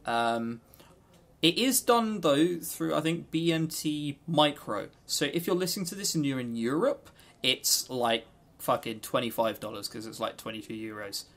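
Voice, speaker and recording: Gravelly, joking man chatting on a podcast. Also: mid-range voice, expressive, moderate pace, fairly bright tone. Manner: sweary, jokey, rambling. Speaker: podcast co-host. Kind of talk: casual unscripted visual novel review chat.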